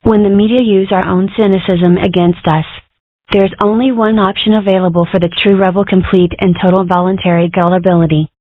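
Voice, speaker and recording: A woman's voice: mid-range voice, steady tone, a little fast, dry recording, lightly acted. A woman voicing an opinionated, philosophical ten-year-old girl in a cartoon comic dub, earnest and wordy. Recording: muffled band-limited audio.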